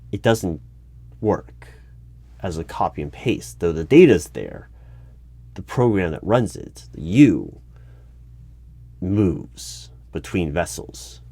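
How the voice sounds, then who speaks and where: A man playing a methodical, puzzled hiring manager in a one-sided interview roleplay, halting as he asks careful clarifying questions. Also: low-pitched, sing-song, dark tone, dry recording, full character voice. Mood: probing. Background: computer keyboard typing and clicks.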